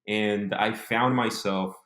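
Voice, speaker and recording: A man's voice: on the low side, fairly even intonation, slow pace, a bit bright, echoey room. A man in his mid-twenties from Honduras talking casually as an interview guest, telling his story reflectively in short phrases. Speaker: interview guest, business owner.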